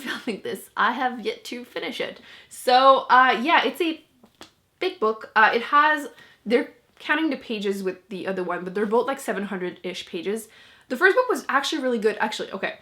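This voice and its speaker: A woman's voice: mid-range voice, bright, forceful tone. A young woman whose first language is French, chatting casually to camera as a book vlogger, laughing often and poking fun at herself.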